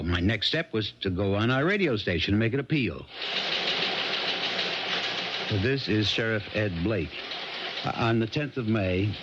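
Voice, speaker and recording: An American man playing a steady, considerate police sheriff in a 1970s radio mystery, speaking in a measured, careful, hesitant way. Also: lowish voice, close to an everyday voice. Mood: grave appeal. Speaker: actor. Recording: noisy, dull old radio broadcast recording.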